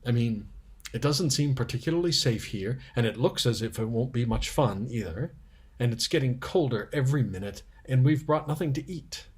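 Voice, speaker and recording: A practical, uneasy older schoolgirl from a storybook, voiced by a man. She worries aloud in a hesitant way, with a slightly husky tone. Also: mid-range voice, animated, normal speed. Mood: nervous doubt.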